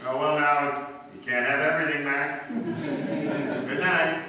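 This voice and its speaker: A man's voice: on the high side, steady tone, unhurried, pushed and bright, slight background noise. A man playing a breezy, sardonic ex-husband in a 1940s-style radio play, with a wry, casual, unruffled way of talking. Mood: easygoing. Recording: distant, very echoey hall, live stage.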